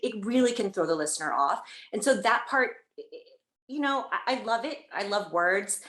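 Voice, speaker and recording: Enthusiastic woman audiobook narrator, gushing chat with restarts, roomy echo. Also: medium pitch. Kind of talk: casual interview chat.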